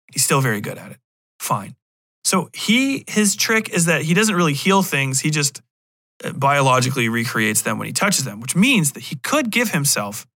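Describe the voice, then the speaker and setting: A man's voice: on the high side, bright, forceful tone, no room sound. A man hosting a podcast, giving a casual, rambling review in a profane, sardonic, incredulous manner. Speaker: podcast host.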